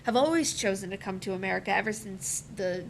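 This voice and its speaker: A woman's voice: mid-range voice, quick pace, gravelly. Earnest young woman, noisy recording. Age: teens to young adult. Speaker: student panelist. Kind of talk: panel discussion answer.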